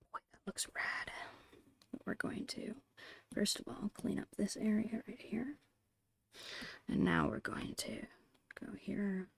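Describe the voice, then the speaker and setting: A woman whispering a casual, gentle ASMR drawing tutorial in a breathy, unhurried voice. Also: mid-range voice, no echo. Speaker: ASMR host and digital artist.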